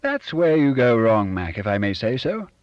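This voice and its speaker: A man's voice: medium pitch, sing-song, normal speed, no echo, highs cut off, voice pushed up, natural voice. A man reading an audiobook and voicing a curt, pushy man who puts on a fake genteel accent and talks down to his friend. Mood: bluff, patronising. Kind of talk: audiobook character voice.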